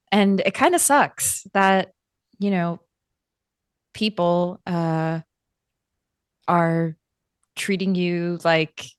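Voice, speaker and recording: A woman playing a caring, protective friend in a tabletop roleplay, speaking gently and haltingly with careful wording. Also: on the low side, no echo, clean studio sound. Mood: concerned, hesitant.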